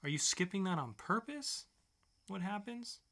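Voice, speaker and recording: A man's voice: high voice, very animated, measured pace, fairly bright tone. A man co-hosting a podcast, chatting casually with joking asides. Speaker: podcast co-host.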